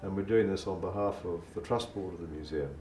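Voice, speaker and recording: A man's voice: low voice, brisk, warm tone. A man giving a formal award presentation speech as a museum director. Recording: distant, echoey, noisy room recording.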